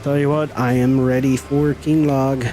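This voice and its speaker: A man's voice: medium pitch, level tone, normal speed, mellow, faint music behind, rough recording. A middle-aged man chatting casually with viewers as he streams a game, folksy and teasing. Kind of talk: casual live-stream chat while gaming.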